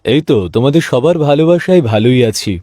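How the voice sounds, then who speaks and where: A man's voice: fairly high pitch, lively intonation, fast, dark tone, no echo, clean studio sound. A man playing an ageing, principled sweet-shop owner and stern father in a cartoon tale, speaking earnestly and emphatically. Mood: genial. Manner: earnest, indignant when crossed, emphatic repeated questioning. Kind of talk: cartoon fairy-tale voice acting.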